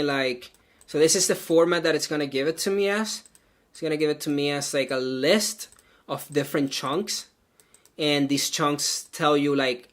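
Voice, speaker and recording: Bright, chatty man coding live. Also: a little high. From Venezuela. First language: Spanish. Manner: chatty and loose, full of "like" and "um", thinks aloud while coding. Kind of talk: casual live coding stream, chatting with viewers.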